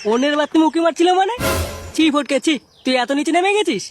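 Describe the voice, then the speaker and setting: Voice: high voice, expressive, fast, music in the background. A voice actor playing a brash, cursing young village lad in a comedy cartoon. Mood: surprised, scolding. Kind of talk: comic cartoon dubbing.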